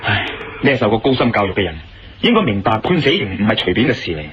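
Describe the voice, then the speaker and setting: A man's voice: lowish voice, sing-song, fast, background noise. A man with a Hong Kong Cantonese accent playing a persistent, probing investigator in a radio drama. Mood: reasoning, persuasive. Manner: probing, persuasive. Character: male investigator, persistent, reasoning, questioning a suspect. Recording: lo-fi radio sound with music behind.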